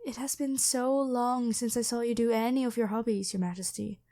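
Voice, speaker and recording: A woman's voice: on the high side, steady tone, a little fast, mellow, no echo, close to an everyday voice. A woman voicing a devoted, protective royal knight, head of the guard, with formal, deferential speech in a scripted ASMR roleplay. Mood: gently worried, pleading. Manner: formal, deferential address to a monarch.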